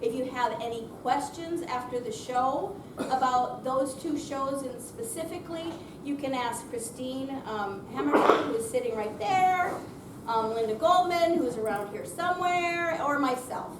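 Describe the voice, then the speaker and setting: Woman, projecting, chatty live introduction, high voice, far from the mic in a noisy echoing hall. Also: lively intonation, measured pace. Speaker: theater program presenter. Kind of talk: live audience introduction, off the cuff. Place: senior center room, unamplified, before an audience. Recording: distant mic, echoey room, noisy.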